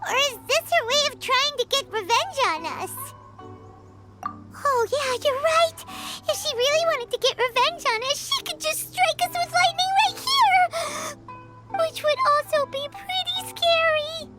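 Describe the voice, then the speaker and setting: A woman voicing an excitable, blunt little floating female companion who exclaims a lot in a game cutscene. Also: very high voice. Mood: alarmed. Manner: chirpy, quick to exclaim. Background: game music.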